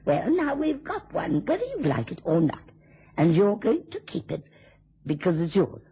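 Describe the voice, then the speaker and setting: An actress in a radio drama playing a drunken, taunting, spiteful wife with a theatrical, mocking drawl. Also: female voice, medium pitch, huge pitch swings, normal speed, breathy edge, heavily acted. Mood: scornful, vicious. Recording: dull, lo-fi old radio recording.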